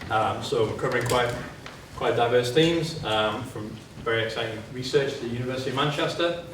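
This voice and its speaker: A man's voice: medium pitch, brisk. A man hosting a conference who introduces the speakers hesitantly, with many fillers. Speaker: conference host. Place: conference hall. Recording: very echoey hall, distant mic, noisy.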